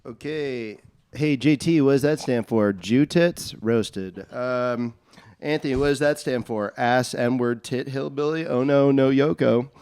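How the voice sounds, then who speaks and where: A man's voice: medium pitch, unhurried, darkish tone, smooth. A man trading mocking roast jokes as a comedian contestant.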